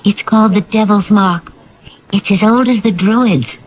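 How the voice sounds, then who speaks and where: A woman's voice: medium pitch, unhurried, natural voice. An actress playing a grave, foreboding woman in a radio drama. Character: grave woman explaining occult lore with foreboding. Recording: dull lo-fi vintage radio recording.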